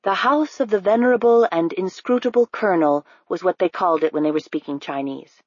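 Woman narrating an audiobook, low and dry. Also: fairly quick, a little mellow, husky, no room sound, highs cut off. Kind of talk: scripted narration.

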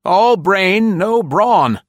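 A man voicing a huge, fat male colonel with a high, neighing voice. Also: lively intonation, drawn-out words, no room sound, studio quality, heavily acted. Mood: approving.

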